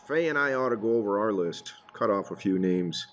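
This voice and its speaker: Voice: low voice, animated, brisk. An actor playing a folksy, earnest married police detective chatting with a partner in a radio drama. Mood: folksy, chatty. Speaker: supporting actor. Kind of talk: old-time radio police drama dialogue.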